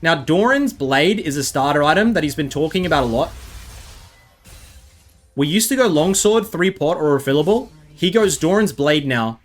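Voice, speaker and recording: A man's voice: on the high side, expressive, normal speed. An Australian man giving rambling, self-mocking commentary over gameplay, full of chatty asides. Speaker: gaming video creator.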